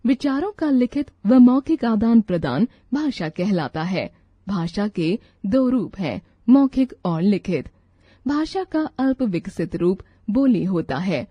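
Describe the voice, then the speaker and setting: A woman narrating a scripted grammar lesson from a textbook, reciting rules and example lists in a measured way. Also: mid-range voice, warm tone, breathy edge, no room sound.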